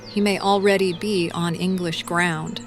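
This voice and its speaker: A woman acts a maimed but determined young female warrior in an audio drama. Her voice is a little low and very even, with slow, solemn phrasing over faint music. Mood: resolute. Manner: measured, solemn, resolute.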